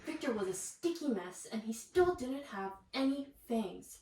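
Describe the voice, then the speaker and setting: High-voiced woman reading aloud, echoey room. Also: expressive, measured pace, darkish tone. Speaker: reader of a children's bedtime story. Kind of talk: animated storybook read-aloud with asides to children. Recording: echoey room, distant mic, faint noise.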